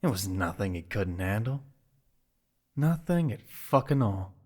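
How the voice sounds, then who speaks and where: A man's voice: lowish voice, big pitch swings, measured pace, a little mellow. A man giving scripted, dramatic story narration for an audio drama.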